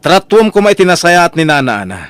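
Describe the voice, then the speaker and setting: A man with an Ilocano Filipino accent playing an exasperated, defiant husband in an audio drama, emphatic and expressive. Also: on the high side, brisk, clean tone, no room sound. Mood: indignant, admonishing. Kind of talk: radio-style audio drama acting.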